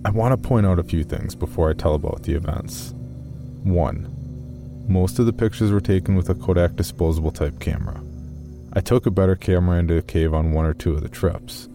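A man playing an earnest, guarded amateur caver, narrating his journal in a measured, matter-of-fact way for an audio horror drama. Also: deep voice, over music. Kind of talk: first-person scripted horror narration.

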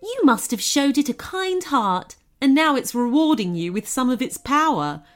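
A woman's voice: sits high, big pitch swings, moderate pace, voice pushed up. A woman voicing a kindly, anxious female goblin with a folksy, polite way of talking, in a storybook tale. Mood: delighted, grateful.

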